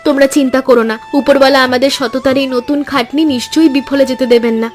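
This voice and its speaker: নারী কণ্ঠে চড়া গলার নিষ্ঠাবান গ্রাম্য বউ. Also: female voice, high-pitched, fairly even intonation, quick pace, faint music behind, natural voice. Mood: earnest, reassuring. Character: devoted, hopeful village wife. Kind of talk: Bengali animated moral story dialogue.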